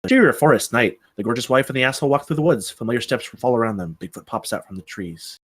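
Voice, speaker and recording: A man's voice: mid-range voice, lively intonation, fast, husky, no room sound. A man reading out stage directions as narrator at a screenplay table read.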